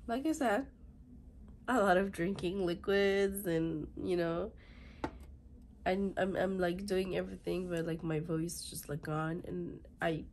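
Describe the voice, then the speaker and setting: A woman in her thirties, hoarse and raspy from a cold, chatting through a beauty unboxing and reading product labels aloud in a halting way, in a small room. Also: fairly low pitch, steady tone, a little mellow, slight background noise. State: hoarse, congested from illness. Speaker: beauty vlogger. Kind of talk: casual unboxing chat. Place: small bedroom with fluffy chair, indoors.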